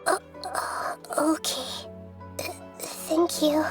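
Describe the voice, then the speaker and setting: A woman voicing a gentle, thoughtful young girl deity in a video game, with a soft youthful voice and careful, measured wording. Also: high voice, music in the background. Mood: hesitant. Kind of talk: English video game dub acting.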